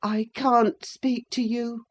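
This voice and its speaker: Woman voicing a whiny, high-pitched peevish sickly boy. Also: level tone, takes it slowly. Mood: whining, self-pitying. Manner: whining, pettish. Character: sickly, peevish, spiteful, self-pitying boy. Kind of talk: dramatised classic novel reading.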